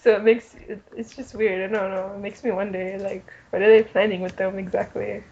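A woman's voice: medium pitch, level tone, fairly quick, a little mellow. A woman co-hosting a podcast in casual fan chat, talking in an enthusiastic, slangy, giggly way. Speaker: podcast co-host. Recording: muffled lo-fi audio with background noise.

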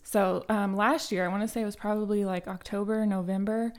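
A young adult woman hosting a casual solo podcast, her throat a little raspy today, telling a story in a chatty way with plenty of fillers and restarts. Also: mid-range voice, fairly even intonation, a little fast, darkish tone. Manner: chatty, many fillers and restarts, light laughs. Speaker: podcast host.

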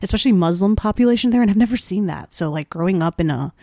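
A woman in her twenties from the Chicago suburbs, a teacher chatting on a podcast, talking quickly with lots of "like" and acting out what people said. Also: medium pitch, animated, dark tone, dry recording. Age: 20s. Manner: chatty, fast, full of "like", acts out quoted voices. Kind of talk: casual podcast chat.

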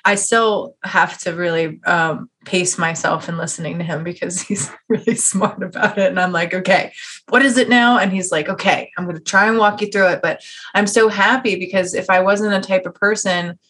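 A woman's voice: lowish voice, steady tone, normal speed, a bit bright, husky. A woman hosting a conversational podcast interview, talking at length in an earnest, encouraging way. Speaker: podcast host.

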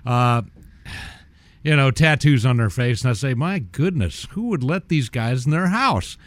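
Middle-aged male guest, genteel and chatty, mid pitch, dry studio sound. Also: animated, a little slow, no echo. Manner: genteel, chatty, with small restarts. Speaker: guest audio-video installer. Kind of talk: casual radio chat. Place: radio studio.

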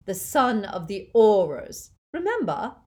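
A woman reading aloud and voicing a gleeful, fanatical dark witch who speaks with eager delight. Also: mid-range voice, big pitch swings, slow pace, voice pushed up.

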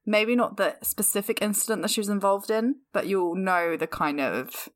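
A woman in her thirties with a slightly croaky voice, co-hosting a podcast in casual, chatty conversation and laughing often. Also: mid-range voice, moderate pace. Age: 30s. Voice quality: croaky, slightly sore throat. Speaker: podcast co-host. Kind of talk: casual chat.

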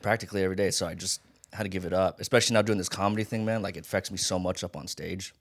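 A man's voice: sits low, steady tone, racing. An American man hosting a casual podcast chat, talking in slangy, profane, bro-heavy language. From Hawaii and Las Vegas, United States. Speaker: podcast host.

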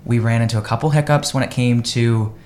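A man's voice: mid-range voice, steady tone, fast, a little mellow, slight background noise. Upbeat, enthusiastic man guesting on live radio. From United States. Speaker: guest, real estate agent.

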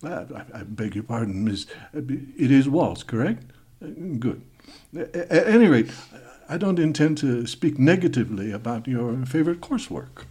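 A man playing a halting Spanish student. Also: medium pitch, very animated, dark tone, slightly rough. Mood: formal. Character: young male Spanish exchange student, formal, awkward.

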